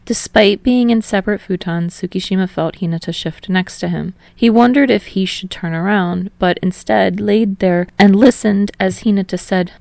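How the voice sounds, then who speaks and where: A woman's voice: mid-range voice, normal speed, vocal fry, few pauses, darkish tone, no room sound. A woman reading scripted fiction as an audiobook narrator. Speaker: podfic narrator.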